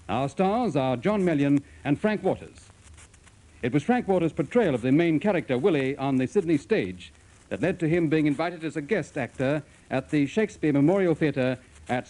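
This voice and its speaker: A man's voice: on the high side, darkish tone, poor audio. A man hosting a radio theatre in formal, scripted 1950s presenting style. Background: music.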